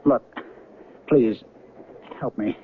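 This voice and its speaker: An actor playing an agitated, bewildered physicist in a radio drama, insistent and flustered. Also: male voice, extremely slow. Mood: bewildered, pleading. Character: agitated, bewildered male physicist trapped on mysterious train. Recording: noisy, narrow-band old radio recording.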